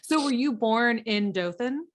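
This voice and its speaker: A woman's voice: a little high, animated, measured pace. A woman, hosting a podcast in a casual, agreeable, chatty way. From southern US. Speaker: podcast host, interviewer. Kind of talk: casual chat.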